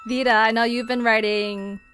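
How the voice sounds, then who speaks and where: A woman hosting a podcast in a relaxed, casual conversational style. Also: on the high side, normal speed, over loud music. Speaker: podcast host and show writer. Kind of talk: casual chat. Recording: clear background noise.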